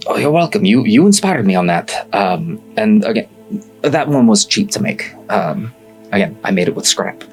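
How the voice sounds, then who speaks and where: A man hosting and narrating a tabletop game as game master, speaking casually with halting pauses as he picks his words. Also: on the low side, sing-song. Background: background music.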